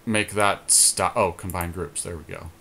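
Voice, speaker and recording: A man talking casually through a card game, reasoning and counting aloud in a deliberate way. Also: low-pitched, fairly even intonation, vocal fry, bright, forceful tone, a little hiss or hum. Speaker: podcast co-host and card game player.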